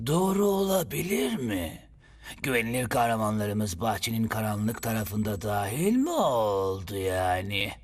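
A theatrical cartoon announcer delivers melodramatic lines. The pace is drawn out and the pitch leaps about dramatically. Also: sing-song, a little slow, a little hiss or hum. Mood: mock outrage. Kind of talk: melodramatic cartoon dub narration.

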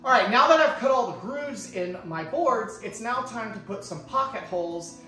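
A man's voice: high voice, animated, moderate pace, sharp tone. A man in his thirties hosts a hands-on tutorial in a casual, step-by-step way. His voice rings with the echo of an indoor workshop. Faint guitar music plays behind him. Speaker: woodworking tutorial host. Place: indoor woodworking shop. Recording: roomy echoing workshop sound.

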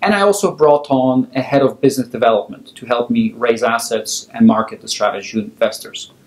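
A man in his thirties, originally from Poland, answering interview questions as a fund founder in a measured, organized way. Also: medium pitch. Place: office with wooden chairs, indoors. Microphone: lapel mic. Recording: roomy office echo.

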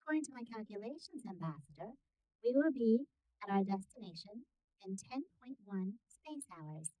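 A woman voicing a polite, composed starship AI with formal, measured announcements in a sci-fi audio roleplay. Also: mid-range voice, lively intonation, dark tone, no echo, voice pushed up, natural voice, rough recording.

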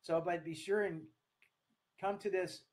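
A man in his sixties with an American accent, giving an earnest online talk to camera in a halting, deliberate way. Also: sits high, fairly even intonation, noisy background. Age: 60s. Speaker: speaker, coach. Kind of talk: online talk to camera, partly read from notes. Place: small room at home, indoors. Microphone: webcam or laptop at desk distance.

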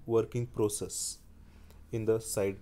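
A man giving a slide-based technical lecture, speaking haltingly with frequent restarts and fillers. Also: lowish voice, little pitch movement, mellow. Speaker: engineering lecturer.

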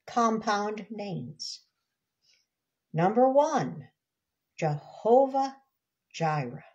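Slow, low-voiced older woman reading devotionally. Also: dark tone. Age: older, about 70s. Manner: unhurried, emphatic, savoring words with repeats and murmured asides. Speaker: devotional reader and Bible teacher. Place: small indoor room, seated.

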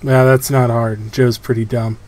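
A man's voice: medium pitch, level tone, a little slow, a little mellow. A man giving casual, joking commentary on a film, with dry, sarcastic quips.

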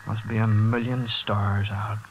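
An actor playing a hot-headed but good-hearted young working man in a 1940s radio drama, halting and stammering as he talks. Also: fairly low pitch, little pitch movement, narrow, tinny audio, noisy background. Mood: tender, wistful. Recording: 1940s radio broadcast with loud music.

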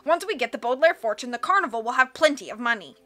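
A woman's voice: a little high, animated, fast talker, a bit bright, faint music behind. A woman voicing a boastful, menacing villainous man, an imperious gang boss in a storybook. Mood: impatient.

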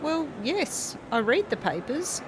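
A woman's voice: high voice, sing-song, slow pace, noisy background, full character voice. An actress playing a prim, polite female office assistant who is mildly reproachful in a radio comedy.

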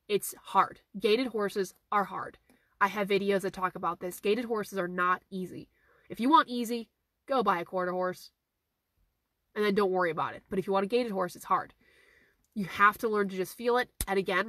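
Woman, 30s, horse trainer; hoarse, voice going; phone at arm's length in a car; dry close sound; earnest and repetitive. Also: mid-range voice, moderate pace, bright, forceful tone, no room sound. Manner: earnest, repeats key points for emphasis. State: hoarse, losing her voice. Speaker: horse trainer hosting a live Q&A. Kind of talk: casual live-stream Q&A, reading viewer questions. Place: inside a parked car. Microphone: phone camera at arm's length.

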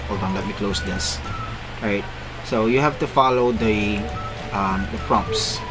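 A man's voice: on the low side, measured pace, over music, low-quality recording. A man from the Philippines, a non-native English speaker, casually walking viewers through a phone tutorial on his computer, with frequent fillers and self-corrections. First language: Filipino. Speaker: tech tutorial host. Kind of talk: screen-recorded tech walkthrough.

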